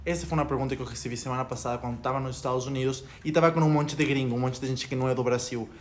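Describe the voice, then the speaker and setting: A man's voice: mid-range voice, fairly even intonation, racing, slight background noise. A young man from Ecuador with a Spanish accent in Portuguese, a vlogger talking to camera in an opinionated, rambling way. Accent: Spanish-speaking Ecuadorian accent in Portuguese. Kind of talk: casual talk to camera.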